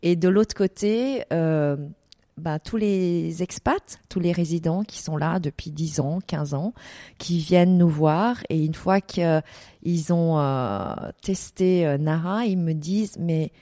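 A woman's voice: lowish voice, slow pace, dark tone, no room sound, studio quality. A middle-aged woman from Vietnam answering interview questions about her events business, hesitating often with fillers and restarts. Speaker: desert events business owner.

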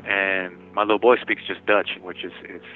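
Man on a tinny phone line, halting. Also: sits low, level tone. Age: 40s. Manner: halting, frequent restarts and repeated words. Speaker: interviewee in prison. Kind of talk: casual phone interview.

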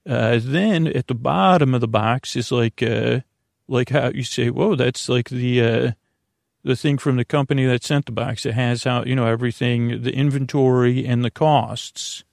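A man hosting a bedtime podcast in a meandering, halting monologue full of trailing asides, with a creaky, gravelly voice. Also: medium pitch, no room sound, clean studio sound. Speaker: podcast host.

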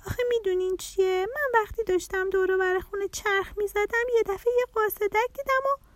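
A woman voicing an emotional, excitable little girl butterfly who is quick to sulk, in a children's story. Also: very high-pitched, dry recording, pitched above the performer's own voice. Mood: pleading, defensive.

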